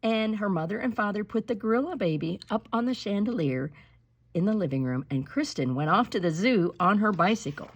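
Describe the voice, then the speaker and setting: Woman storybook reader, mid-pitched and highly animated, dramatic and emphatic children's narration. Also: fairly quick, husky.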